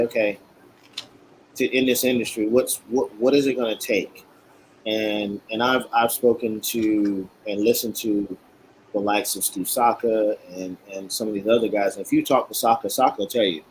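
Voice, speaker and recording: A man's voice: on the low side, slight background noise, poor audio. A man from Queens, a guest in a casual chat, talking in a blunt, emphatic way with many restarts. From Queens, New York.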